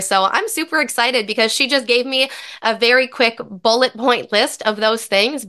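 A woman in her thirties hosting a podcast in a casual, upbeat way, her voice a little raspy from recovering from a lost voice. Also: a little high, bright, forceful tone. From American Midwest. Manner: upbeat, chatty. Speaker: podcast host and dietitian.

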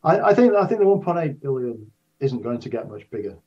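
An older man with a husky, slightly rough voice answers as an expert interview guest. He explains things carefully and restarts phrases as he goes. The audio is somewhat dull and muffled. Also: medium pitch, very animated, a little fast. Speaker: interview guest, astrophysics professor.

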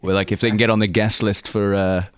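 A young man in his twenties with a Welsh accent, a musician talking in a casual interview, soft-spoken and halting, with frequent laughs. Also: on the low side, little pitch movement, smooth, dry recording. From Wales. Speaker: musician guest.

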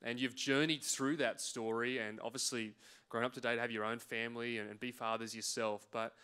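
A man's voice: medium pitch, flat delivery, brisk, pushed and bright, smooth. A man leading an interview at a church service, friendly and guiding as he introduces the topic. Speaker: church pastor interviewing guests.

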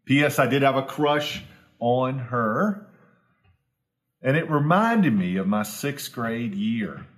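A pastor in his forties speaks slowly and deliberately, taking his time over each phrase. His voice sits at an ordinary middle pitch for a man. He is earnest and homespun, and his reflection builds to emphatic repetition. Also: lively intonation. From Southwest Virginia, US. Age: 40s. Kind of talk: reflective devotional talk.

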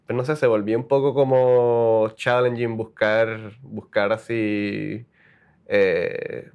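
Halting Puerto Rican man. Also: lowish voice, level tone, smooth, dull audio. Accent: Puerto Rican Spanish. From Puerto Rico. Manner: halting, full of restarts and English words. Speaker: copywriter guest. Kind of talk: casual interview.

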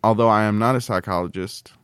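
A man with a fairly low voice talks like a casual podcast co-host. He rambles and halts through his explanations in a joking way. The sound is dry, with very little room. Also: fairly even intonation, fast, clean tone, dry recording.

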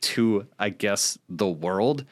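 A man's voice: lowish voice, animated, slow. A man co-hosting a podcast, chatting casually about pop culture in an enthusiastic, opinionated way. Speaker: podcast co-host.

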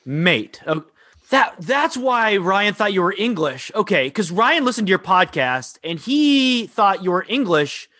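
A high, bright-voiced man hosts a podcast, talking in an excitable, joking way. His voice sounds close and dry with no room around it. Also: no echo. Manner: excitable, jokey, quick asides. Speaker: podcast host. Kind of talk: casual chat.

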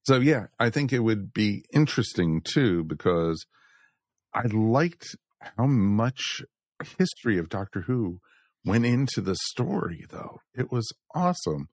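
Animated lowish-voiced man, casual talk. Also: a little slow, no room sound, studio quality. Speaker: podcast panelist. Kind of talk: casual chat.

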